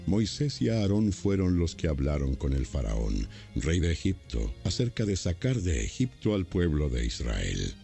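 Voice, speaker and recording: A man narrating a dramatized audio Bible from a script in a solemn, deliberate way. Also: low voice, sing-song, gravelly, mellow, soft background music.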